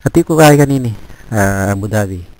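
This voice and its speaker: A man with a Filipino accent chatting casually and haltingly as an amateur radio operator over a noisy link. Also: on the low side, animated, darkish tone, dry recording. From Philippines, Pampanga region. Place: office.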